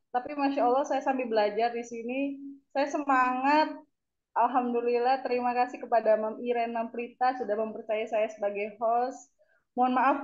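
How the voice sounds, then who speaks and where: A woman hosting her first webinar over a video call, earnest and grateful, her voice slightly hoarse. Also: high voice, a little mellow. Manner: earnest, grateful, self-deprecating. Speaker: first-time webinar host. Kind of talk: casual online chat. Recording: dull lo-fi call audio.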